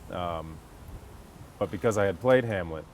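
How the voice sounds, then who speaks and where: A man's voice: sits low, measured pace. An American man from Connecticut telling stories as a stage actor in a casual outdoor interview. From Connecticut, United States. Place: outdoors on a golf course. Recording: clear outdoor background noise, vehicles.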